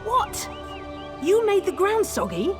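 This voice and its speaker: A woman's voice: very high voice, wildly swooping pitch, slow pace, over music, full character voice. A woman playing a fussy, disapproving elf mother in a fantasy video game. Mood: aghast.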